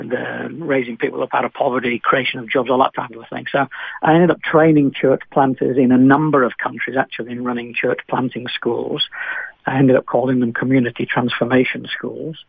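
A man with a Manchester accent, a pastor in his fifties, tells his story over a thin, phone-like line. His voice has a gravelly edge and stays fairly flat, with many fillers and restarts. The sound is dry and close. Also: medium pitch, dry recording. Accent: Manchester English. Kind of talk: radio interview guest.

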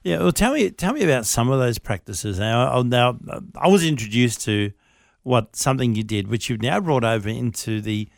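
A man hosting a radio interview, his voice gravelly with a lot of fry. He talks in a chatty, upbeat way, and his pitch swings widely. The sound is dry and close to the mic. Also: lively intonation, moderate pace, no room sound. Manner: chatty, upbeat, lots of fillers and restarts. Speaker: radio producer and fill-in host. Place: radio studio.

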